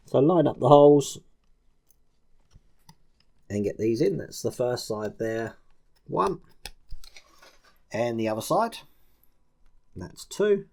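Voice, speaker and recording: A man's voice: mid-range voice, a little mellow. A man with a mid-range voice leads a practical model-building tutorial. He speaks informally, describing each small action as he does it, in step-by-step instructional commentary. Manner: informal, narrating actions as he works.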